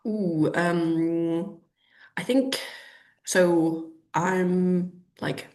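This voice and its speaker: A woman's voice: lowish voice, steady tone, drawn-out words, a little mellow. A woman in her thirties, a guest in a webinar interview, chatty and thinking aloud with many ums and restarts. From Canada. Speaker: urban planner guest.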